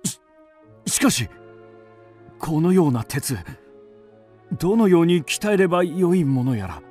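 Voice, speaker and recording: A man's voice: fairly high pitch, big pitch swings, unhurried, a little mellow, soft background music. A man playing a loyal, driven master swordsmith, earnest and intense, in a radio drama. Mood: deferential, awed.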